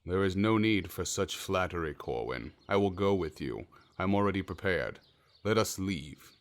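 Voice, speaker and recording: An actor playing a cunning, self-assured wealthy gentleman in a period audio drama, urbane and wry. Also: low-pitched, lively intonation, moderate pace. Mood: wry, composed. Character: cunning, unruffled wealthy merchant gentleman accused of witchcraft.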